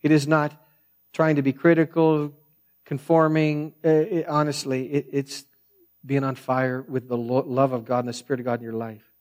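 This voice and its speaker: A man with a soft voice preaching a sermon, telling stories in an earnest, conversational way. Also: mid-range voice, level tone, moderate pace. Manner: earnest, conversational storytelling with frequent restarts. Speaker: pastor.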